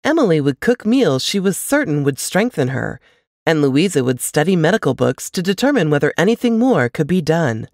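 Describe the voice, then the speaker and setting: A woman reading a novel aloud as an expressive scripted narration. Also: low-pitched, normal speed, no echo, studio quality.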